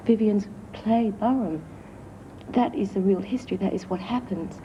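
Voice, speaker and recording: Interviewee: woman, soft dark tone, earnest, dull recording with faint noise. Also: medium pitch, normal speed. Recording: muffled, faintly noisy recording.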